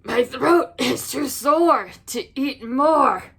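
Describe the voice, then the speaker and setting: A woman's voice: high voice, animated, extremely slow, gravelly, fairly bright tone, breathy edge, pitched above the performer's own voice, exaggerated voice. A woman voicing a horrible, ancient male monster in a storybook, hungry, boastful and menacing, with growled and groaned words. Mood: groaning.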